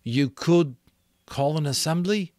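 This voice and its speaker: A man voicing a frightened, defensive young boy in a shrill, excitable manner for a storybook. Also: slow pace, no room sound. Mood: pleading. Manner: shrill, excited, stammering protests.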